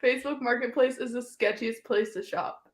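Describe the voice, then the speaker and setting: A woman's voice: a little high, steady tone, normal speed, echoey room. A woman hosting a casual video-call chat about books, joking and giggling often. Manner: giggly, jokey, over-caffeinated. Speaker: host.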